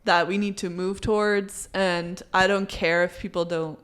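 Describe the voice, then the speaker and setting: A woman's voice: mid-range voice, level tone, normal speed, a bit bright, smooth. A young adult woman chatting casually as a podcast co-host, saying "like" often and laughing easily. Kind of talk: casual chat.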